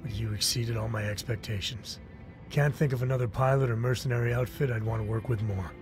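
A man's voice: mid-range voice, a little fast, lightly acted. Male actor as gracious older mercenary patron, formal, articulate, game cutscene, music behind. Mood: congratulatory. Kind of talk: video game cutscene acting.

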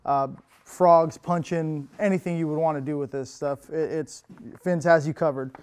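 Young guy in a tackle shop running through new gear, smooth clear voice, easygoing, lots of ums. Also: normal speed. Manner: relaxed, lots of fillers, upbeat pitches. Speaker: tackle shop product host. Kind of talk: casual product rundown. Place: indoor tackle shop with lure wall.

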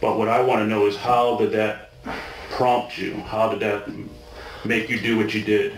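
A man questioning a suspect as a police detective, speaking in a calm, patient way. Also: fairly low pitch, moderate pace. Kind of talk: police interrogation. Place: small bare interrogation room. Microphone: far ceiling camera. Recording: echoey room, distant mic, faint hiss.

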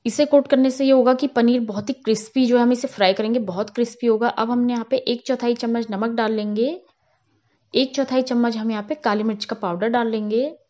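Cooking channel host, woman, higher voice, calm step-by-step voice-over, slightly muffled lo-fi sound. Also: fairly quick. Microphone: voice-over, not on camera.

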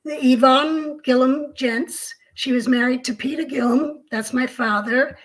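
A middle-aged woman from Australia, co-hosting a video-call chat at home, telling her story in a calm, measured way. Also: high voice, low-quality recording. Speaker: co-host. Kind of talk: casual interview chat. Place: home room, indoors.

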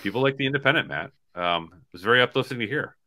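A man's voice: mid-range voice, lively intonation, fast, sharp tone. A man in his forties co-hosting a sports podcast, chatting casually and in a rambling way over a video call. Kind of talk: casual postgame sports chat. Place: small hotel room, indoors. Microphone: video call on laptop.

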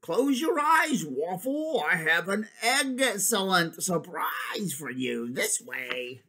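A reader voicing a scheming egg villain in a slow, sugary, sly voice. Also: mid-range voice, sing-song, sharp tone, pitched above the performer's own voice, heavily acted.

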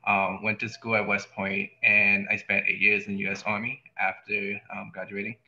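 An American man in his thirties introduces himself casually, with a gravelly, very forceful edge and an extremely flat melody. The video-call sound is roomy. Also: on the low side, normal speed. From California. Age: 30s. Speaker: student panelist. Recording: roomy, slightly dull video-call audio.

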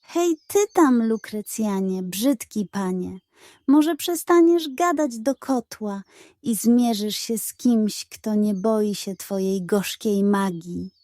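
A woman's voice: medium pitch, slow, warm tone, no echo, studio quality, close to an everyday voice. A woman voicing a brave, cheeky little male puppy in a bold, taunting manner for a children's story. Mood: defiant, taunting.

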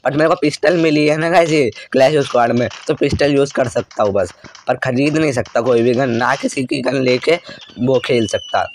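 A hoarse young man, his throat worn out, talks at a normal middle pitch over a faint hiss of background noise. He narrates his own match in quick, excitable bursts. He keeps clearing his throat between lines. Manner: excitable, frequent throat clearing, lots of "bhai". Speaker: gaming channel host. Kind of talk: live gameplay commentary.

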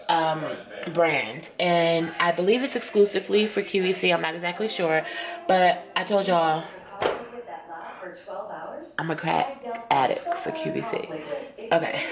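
A woman in her thirties chats casually and playfully in a home kitchen, vlogging into a nearby camera with colloquial phrasing. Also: lowish voice. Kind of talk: casual vlog chat. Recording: clearly noisy home video audio.

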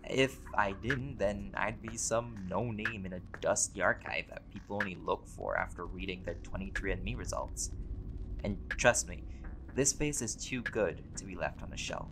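A man's voice: lowish voice, moderate pace, background noise, natural voice. Man acting as laid-back, wry centuries-old vampire. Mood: reflective, candid. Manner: casual, wry, sweary, bantering. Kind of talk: conversational roleplay audio drama.

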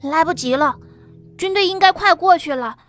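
A woman's voice: extremely high pitch, moderate pace, fairly bright tone, soft background music, pitched above the performer's own voice. A woman voicing a tense young man from a gang of brothers, in an audiobook, talking in urgent, clipped bursts.

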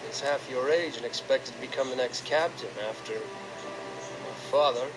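An actor playing a stern middle-aged male guard captain giving orders in an animated series. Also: mid-range voice, over music, lightly acted, rough recording. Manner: commanding, measured.

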